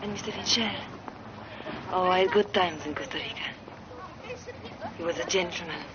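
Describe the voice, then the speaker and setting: An actress playing a talkative Parisian streetwalker, rambling and confiding, sometimes dropping to a whisper, on a dull, noisy old film soundtrack. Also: female voice, lowish voice, normal speed, natural voice. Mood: eager.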